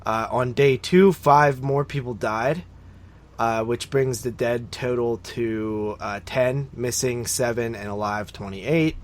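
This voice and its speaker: A man co-hosting a podcast in a casual, informal way, recounting his notes with frequent hesitant pauses. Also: mid-range voice. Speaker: podcast co-host.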